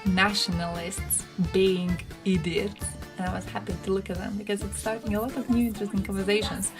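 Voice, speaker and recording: A woman's voice: medium pitch, level tone, brisk. A young woman from Croatia, chatting on a livestream in a blunt, sarcastic, swearing manner. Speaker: streamer. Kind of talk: casual livestream chat. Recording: music playing in the background. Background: music playing.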